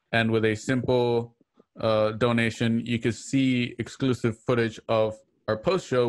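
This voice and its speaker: A man hosting an online radio drama show, chatting casually as he signs off on a livestream. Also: mid-range voice. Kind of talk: casual livestream sign-off chat. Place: indoors at home on a video call.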